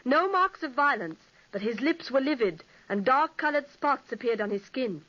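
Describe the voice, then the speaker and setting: A woman's voice: high voice, animated, normal speed, fairly bright tone, dull audio. An actress playing a young woman telling a ghost legend dramatically in an old radio play. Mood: spooky. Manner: dramatic storytelling. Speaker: storytelling sister. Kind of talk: 1940s radio acting.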